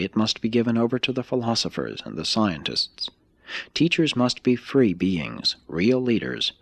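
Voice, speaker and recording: A man reading a scripted spiritual text like an audiobook, in a measured, emphatic manner. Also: lowish voice, husky, no echo.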